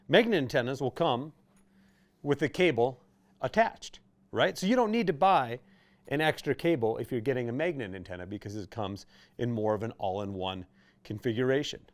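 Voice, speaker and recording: A man's voice: medium pitch, animated, moderate pace. A man with an American accent giving an informal, conversational product demonstration as a shop's expert presenter. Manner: conversational, explanatory, checking in with "right?".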